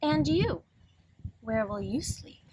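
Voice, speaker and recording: A woman reading aloud as a practical mother gently questioning her little boy in a children's picture book. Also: sits high, lively intonation, slow pace, slight background noise, rough recording. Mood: doubtful, questioning.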